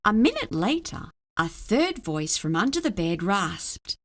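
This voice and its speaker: A woman narrating a children's story aloud in a dramatic, expressive way. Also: medium pitch, unhurried. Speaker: storybook reader.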